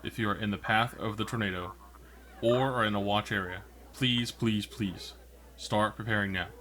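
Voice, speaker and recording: Man acting as TV weatherman, forceful bright tone, lowish pitch, earnest, emphatic, scripted storm bulletin. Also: fairly even intonation, normal speed, natural voice. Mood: urgent, pleading. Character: urgent, earnest male TV weather broadcaster. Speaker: weather broadcaster.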